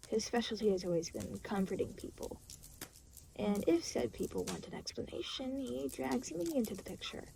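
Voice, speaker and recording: A woman's voice: on the high side, animated, normal speed, vocal fry, warm tone, a little hiss or hum, poor audio. A woman playing an aloof, reluctant, weary demon guide in an intimate audio roleplay, speaking in a dry, matter-of-fact, hesitant way. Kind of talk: intimate scripted audio roleplay spoken to the listener.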